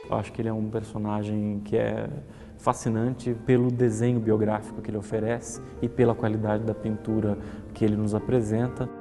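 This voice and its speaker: A man's voice: on the low side, level tone, a little fast, music in the background. Man in a long reverb. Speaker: art expert interviewee. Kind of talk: explanatory interview talk.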